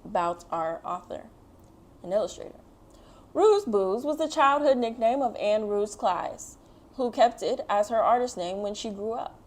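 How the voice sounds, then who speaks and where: Lady doing a kids storytime, talks simply and encouragingly to little ones, medium pitch. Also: animated, a little slow. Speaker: host of a children's read-aloud show. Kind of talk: picture book read-aloud and talk to children.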